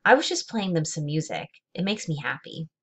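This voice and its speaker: A shy pet shop man from a children's book , read by a woman. He is awkward and plain-spoken, offering short, halting statements. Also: low-pitched, sing-song, slightly rough, full character voice. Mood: gentle, confessing.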